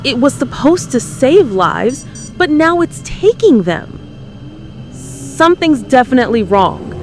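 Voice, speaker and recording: A woman playing an earnest, fearful conspiracy-believing radio caller with an American accent, halting and insistent. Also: sits high, very animated, soft background music. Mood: convinced, ominous. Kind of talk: dramatised radio call-in testimony.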